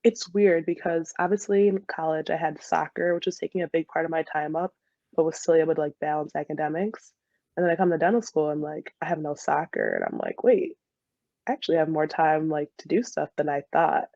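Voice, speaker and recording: A woman's voice: sits low, a little fast, warm tone, no echo, highs cut off. A woman in her twenties with an American accent, telling stories casually as a podcast guest in a chatty, giggly way, saying "like" often. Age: 20s. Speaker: podcast guest, dental student and soccer player. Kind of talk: casual conversational storytelling.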